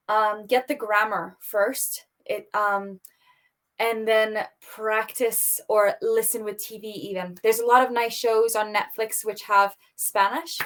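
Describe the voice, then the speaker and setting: A woman tutoring English over a video call, encouraging and upbeat, often laughing. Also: medium pitch. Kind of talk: online tutoring conversation.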